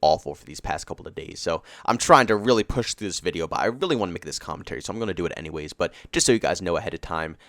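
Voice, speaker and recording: A young man talks through a gaming commentary with a stuffed-up, congested voice. He speaks fast, and his pitch swings widely as his emphatic rant builds. The recording is close and dry. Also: no room sound. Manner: emphatic, ranting, builds to excited peaks. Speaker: gaming YouTuber.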